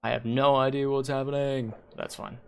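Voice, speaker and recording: A hoarse man hosting a league stream on a headset mic, rambling and correcting himself as he thinks aloud. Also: medium pitch, level tone, moderate pace. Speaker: league host and shoutcaster. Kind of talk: casual livestream commentary.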